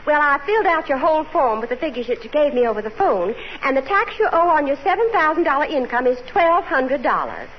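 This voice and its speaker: A woman's voice: sits high, sing-song, fairly quick, few pauses. A woman acting in a 1940s radio comedy, playing a polite young tax clerk with a sweetly composed manner. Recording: muffled old radio broadcast, hissy, slightly off-mic.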